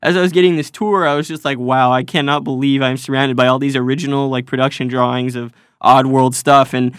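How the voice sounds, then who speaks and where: A man's voice: mid-range voice, steady tone, brisk, a bit bright, smooth, no room sound, studio quality. A man hosting, wrapping up an interview in a chatty, enthusiastic way with many fillers. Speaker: host. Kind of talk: casual recorded wrap-up narration.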